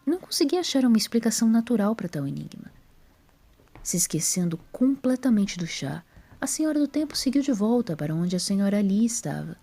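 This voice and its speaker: A Brazilian-accented woman narrates scripted fiction. Her voice is dark and soft-edged, with plenty of breath in it. The pitch sits in a middle range for a woman. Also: very animated, brisk.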